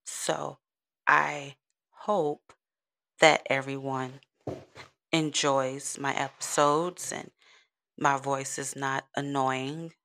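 A woman's voice: very deep voice, little pitch movement, drawn-out words, long pauses, a bit bright. A woman, hosting a rambling, giggly solo podcast in a soothing voice. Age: 30s. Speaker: podcast host. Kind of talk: casual solo podcast chat.

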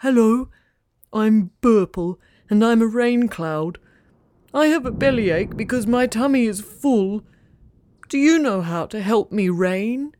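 A woman voicing a shy, polite young male rain cloud with a bellyache, who pleads and apologizes in drawn-out words. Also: on the high side, animated, darkish tone. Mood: polite, anxious. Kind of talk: storybook character voice.

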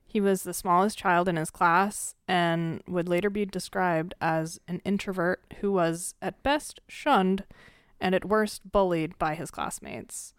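A woman's voice: on the low side, steady tone, measured pace, smooth, dry recording, studio quality. A woman co-hosting a true-crime podcast, playful and chatty, who leads the case narration. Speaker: podcast co-host. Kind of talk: casual true-crime chat.

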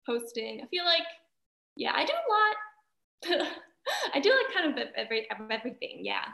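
A young woman from Austria, with German as her first language, chats in a bubbly, filler-heavy way. Her voice sits a bit high. It rings around an echoey room. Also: huge pitch swings, moderate pace, vocal fry, bright, forceful tone. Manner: chatty, bubbly, many "like" fillers and laughs. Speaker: guest, singer and actress. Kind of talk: casual interview chat.

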